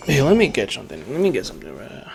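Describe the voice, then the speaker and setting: A man's voice: mid-range voice, wildly swooping pitch, racing, vocal fry. A man giving casual gameplay commentary, muttering and repeating words to himself. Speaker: game streamer.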